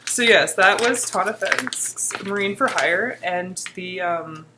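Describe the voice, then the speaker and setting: A woman's voice: mid-range voice, takes it slowly, noisy background. A woman reading a book excerpt aloud informally, with a playful, giggly manner and off-the-cuff asides. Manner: giggly, playful, often breaking off.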